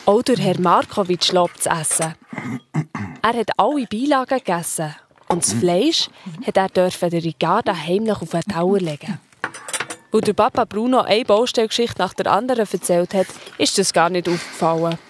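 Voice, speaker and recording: A woman's voice: medium pitch, moderate pace, a little hiss or hum. A woman with a Swiss German accent narrating a children's story in a colloquial, scripted style. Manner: colloquial, present-tense storytelling.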